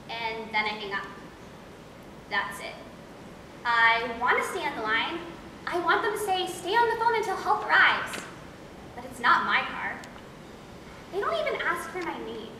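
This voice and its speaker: A woman's voice: sits high, big pitch swings, measured pace, long pauses, bright, forceful tone, heavily acted. A woman acting a lonely, wistful girl in a confessional, reflective amateur stage monologue. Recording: echoey hall, distant mic, noisy.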